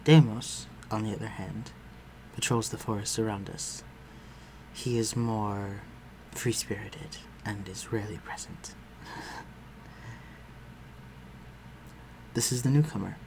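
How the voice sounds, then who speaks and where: A man playing a refined, protective vampire lord in an intimate audio roleplay, speaking formally and with measured command. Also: lowish voice, steady tone, noisy background, close to an everyday voice. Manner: formal, measured, archaic phrasing, dropping to breathy murmurs.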